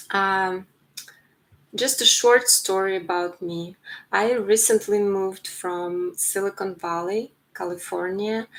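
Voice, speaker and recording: A woman in her forties with a Ukrainian accent, talking earnestly to her live stream viewers in a halting way, with many fillers and restarts. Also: fairly low pitch, a bit bright, low-quality recording. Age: 40s. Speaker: writer streaming to her channel. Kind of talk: casual live stream monologue.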